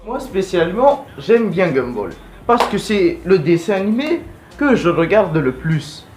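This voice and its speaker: Animated, higher-pitched male vlogger. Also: unhurried, background noise. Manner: informal, rambling, blunt. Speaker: video host. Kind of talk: casual fan vlog commentary.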